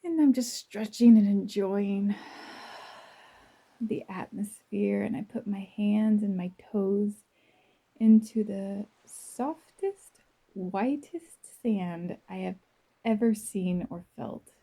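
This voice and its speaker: Female guided-visualization narrator, very dark soft tone, breathy with some creak, gentle, dreamy, unhurried delivery. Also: mid-range voice. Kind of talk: slow first-person guided visualization.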